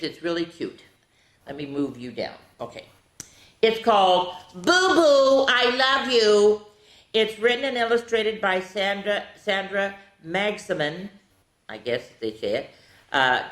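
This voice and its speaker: A woman hosting a children's storytime, reading picture books aloud in a playful, theatrical way with casual asides and drawn-out words. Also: lowish voice, fairly bright tone. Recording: echoey, roomy sound.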